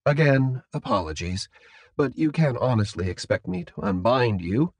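Man voicing a calm, courteous captor, softly spoken formality. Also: medium pitch, no echo, pitched above the performer's own voice. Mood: calm, matter-of-fact. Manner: polite, measured, formal. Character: calm, courteous, businesslike captor behind a voice modulator. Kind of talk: audiobook character dialogue.

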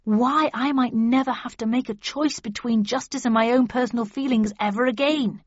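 A woman's voice: on the high side, normal speed, dry recording, lo-fi sound, pitched above the performer's own voice, natural voice. A woman voicing a brooding, conflicted male detective in an audiobook, earnest and protesting. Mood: agitated, protesting.

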